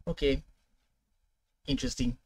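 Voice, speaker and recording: A young adult man giving casual, unscripted reaction commentary, often halting and repeating words, on a headset mic in a room at home. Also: medium pitch, fairly even intonation, very noisy. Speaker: reaction video host. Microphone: gaming headset mic.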